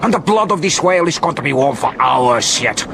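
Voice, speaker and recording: A man's voice: a little high, lively intonation, fairly quick, vocal fry, a bit bright, slight background noise. An actor playing a rugged, resourceful seafaring man in a radio thriller, speaking in terse, earthy sentences that often end in a questioning tag. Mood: commanding.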